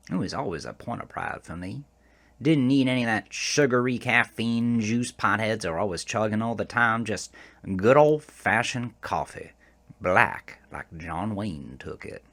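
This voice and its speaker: A man's voice: deep voice, a bit bright, full character voice. A man playing a cranky, aging rural American trucker, telling a first-person horror story in a folksy, profane, rambling way. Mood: grumbling. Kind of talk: first-person horror audio drama narration.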